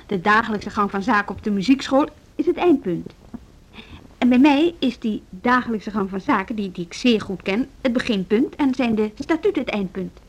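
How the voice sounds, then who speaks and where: An actress in a Dutch radio play playing a strong-willed, principled music school deputy, articulate and pointed, with a Netherlands accent. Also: female voice, on the high side, animated, moderate pace, highs cut off. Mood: pointed, resolute. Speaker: radio drama actor.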